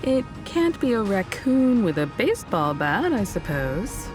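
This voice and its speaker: A woman voicing a composed, gently teasing woman adventurer in a video game, with a poised, gentle manner. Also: medium pitch, very animated, unhurried. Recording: loud music under the voice.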